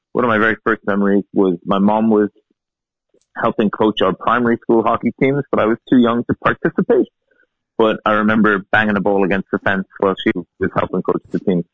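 A man in his thirties from Ireland with a softened Irish accent, a field hockey coach chatting as a guest and telling his story with easy laughs. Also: fairly low pitch, dry recording. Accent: Irish, softened by years in the US. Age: 30s. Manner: relaxed, chatty, laughs while telling stories. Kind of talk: casual interview storytelling. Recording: tinny phone-like line, dry.

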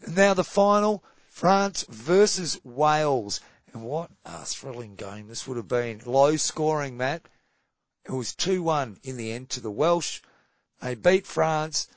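A man co-hosting a hockey podcast, running through match results in casual chat with a slightly croaky voice. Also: a little high, expressive, dry recording, dull audio. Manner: brisk results rundown, trailing off quietly. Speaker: podcast co-host.

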